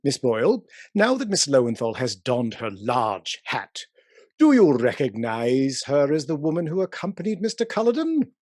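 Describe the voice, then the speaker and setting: Aggressive inspector, courtroom radio drama, formal, lively intonation, dry recording. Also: male voice, medium pitch, moderate pace, no room sound. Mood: formal, confident. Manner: formal, pressing, theatrical. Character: aggressive, self-assured male police inspector prosecuting in court. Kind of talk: period radio play acting, courtroom questioning.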